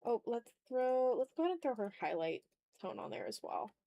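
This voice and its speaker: A woman with a fairly high voice hosts a casual drawing livestream. She speaks informally and jokingly to her chat, with some mild swearing. The sound is dry, with very little room in it. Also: sing-song, normal speed, mellow, no echo, highs cut off. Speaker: livestream host, illustrator.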